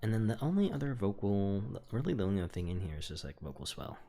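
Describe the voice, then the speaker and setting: A young man casually walking through how he produced his own song, rambling and relaxed with lots of fillers and hedges. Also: lowish voice, fast, heavy background noise. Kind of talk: casual studio walkthrough. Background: faint music.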